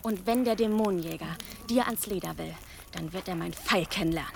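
A woman's voice: lowish voice, a little fast, vocal fry, background noise. An actress playing a fierce, defiant young village woman archer in a German radio drama, resolute and challenging. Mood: defiant, bitter.

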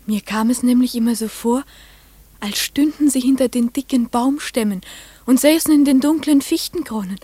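A woman's voice: a little high, moderate pace, warm tone, husky, no room sound. An actress playing a trusting young farmer's daughter, telling her story simply and earnestly in a radio play. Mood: earnest, searching. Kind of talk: literary radio play monologue.

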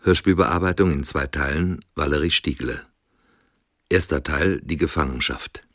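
A man reading radio drama credits as an announcer, in a formal, deliberate manner. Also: rumbling low, no room sound, studio quality.

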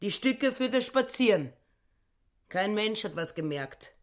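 An actress playing a grasping, self-righteous, narrow-minded housewife who speaks in aggrieved, clipped phrases in a radio drama. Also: female voice, mid-range voice, lively intonation, unhurried, natural voice. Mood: aggrieved, bitter.

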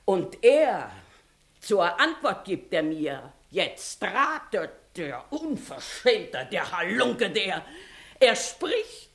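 Indignant, slow-declaiming village mother. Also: mid-range voice, very animated, exaggerated voice. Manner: declamatory, dramatic, emphatic storytelling. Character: indignant, accusing mother, a scolding village plaintiff. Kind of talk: classic German stage comedy radio acting.

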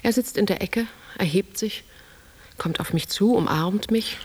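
An actress playing an introspective, weary woman lost in memories, speaking a poetic, often hushed monologue in a radio drama. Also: medium pitch, a little slow, husky. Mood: reflective. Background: music.

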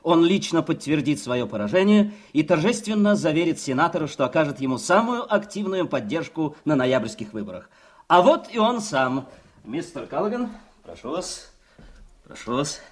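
An actor playing an eager, polite television election reporter in a radio play, announcing formally. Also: male voice, on the high side. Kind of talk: radio play acting as live broadcast.

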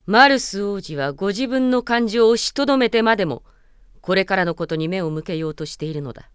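Woman as a clear-voiced, commanding warrior princess. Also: mid-range voice, lively intonation, fairly quick, no room sound, studio quality, natural voice. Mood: resolute. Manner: formal, commanding, resolute. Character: proud, stern young warrior princess and envoy. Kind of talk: radio drama acting.

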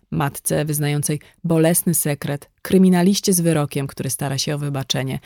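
A woman reading a first-person nonfiction audiobook in a measured, articulate way. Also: low voice, dark tone, no room sound, clean studio sound. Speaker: audiobook narrator.

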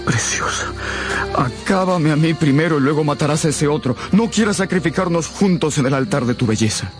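A man's voice: a little high, lively intonation, a little fast, natural voice. An actor playing an earnest, courteous, ardent young man in love in a radio drama. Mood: jealous, ardent. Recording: music behind the voice.

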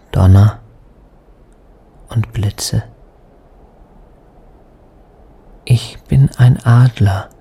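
An actor playing a dreamy lost spirit in a radio play, speaking in hushed, halting, trance-like fragments. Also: male voice, on the low side, slightly rough, exaggerated voice. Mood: solemn, dreamlike. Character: dreamy male spirit, a wandering lost soul. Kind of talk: poetic radio play monologue. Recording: studio radio drama with music underneath. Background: music.

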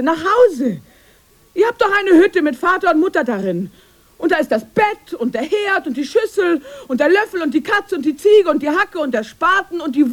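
Very high, swooping old witch. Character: shrill old fairy-tale witch guarding her hut. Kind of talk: theatrical radio play acting.

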